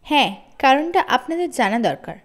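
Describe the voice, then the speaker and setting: A woman's voice: high voice, big pitch swings, normal speed. A woman storyteller voicing a stern, indignant, spiteful woman schoolteacher with an emphatic, scolding manner. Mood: indignant, accusing.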